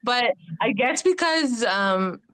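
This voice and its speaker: A woman's voice: a little high, lively intonation, slow pace, fairly bright tone, low-quality recording. Easygoing woman in her late thirties. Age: 30s. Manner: easygoing, agreeable, laughs along. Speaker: podcast co-host. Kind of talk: casual chat.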